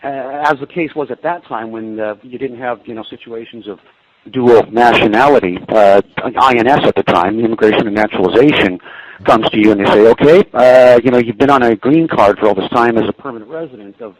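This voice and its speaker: A man's voice: mid-range voice, fairly quick, no echo, low-quality recording. A man in his forties, in Cantonese, telling easygoing anecdotes as a guest in an interview. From Canada, raised in the US Pacific Northwest. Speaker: guest, business news anchor. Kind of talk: casual interview chat.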